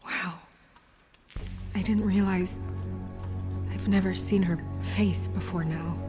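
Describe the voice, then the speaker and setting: An actress playing a composed, businesslike woman official in a video game, measured, matter-of-fact and dryly determined. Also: medium pitch, fairly even intonation, over music, close to an everyday voice. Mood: subdued.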